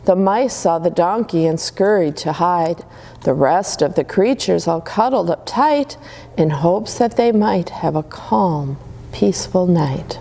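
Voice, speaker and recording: A woman reading Christmas stories aloud to children in a gentle, soothing way. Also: on the low side, lively intonation, a little slow, creaky. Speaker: storyteller.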